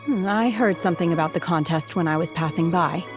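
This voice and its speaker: An actress plays a stoic young woman adept in a video game. Her low voice is formal, measured and deadpan, solemn and literal-minded, over faint music. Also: close to an everyday voice. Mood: calm, reserved. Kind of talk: restrained video game character acting.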